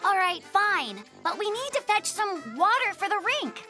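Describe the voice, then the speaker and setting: A woman voicing a sensible, patient young male polar bear cub in an animated cartoon, practical and mildly exasperated. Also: very high voice, normal speed, music in the background. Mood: practical, weary.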